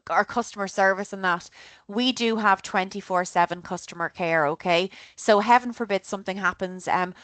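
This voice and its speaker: A woman's voice: medium pitch, a bit bright, smooth, no echo. An Irish woman giving an online travel briefing, chatty and upbeat, often checking in with her listeners. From Ireland. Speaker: travel company presenter. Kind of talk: online group trip briefing.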